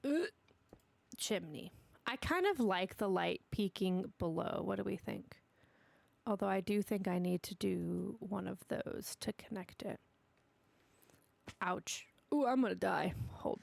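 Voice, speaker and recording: Sniffly woman streamer, slow and gravelly. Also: mid-range voice, darkish tone. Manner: chatty, talks to herself and her dog while playing. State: sniffly from allergies. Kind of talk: casual gameplay commentary.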